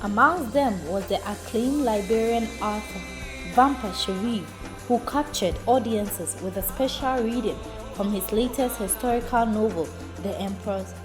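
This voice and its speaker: A woman's voice: a little high, moderate pace. A woman reporter reading a scripted recap in a polished, upbeat way. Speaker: reporter narrator. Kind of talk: scripted narration. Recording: music under voice-over. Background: music bed.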